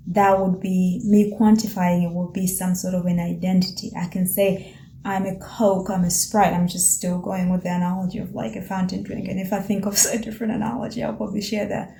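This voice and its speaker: Woman, from Tanzania, first language Swahili, reflective, searching for words, encouraging, warm mellow tone, echoey room. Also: medium pitch, level tone, normal speed, creaky. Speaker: vlogger. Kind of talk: reflective personal talk to camera. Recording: roomy echo with faint ambient noise.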